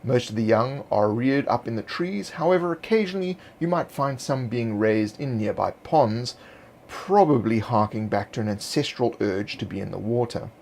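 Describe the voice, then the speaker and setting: A man reading scripted descriptive narration for a fantasy roleplaying guide in a measured, explanatory way. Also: medium pitch, expressive, vocal fry, a little mellow, slight background noise.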